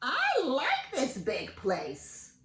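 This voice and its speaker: A woman's voice: sits high, wildly swooping pitch, extremely slow, fairly bright tone, distant mic, a little hiss or hum, pitched above the performer's own voice, exaggerated voice. A woman voicing a fussy, bossy female storybook bird who speaks in an emphatic, complaining way. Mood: decided, pleased. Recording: roomy, away from the mic.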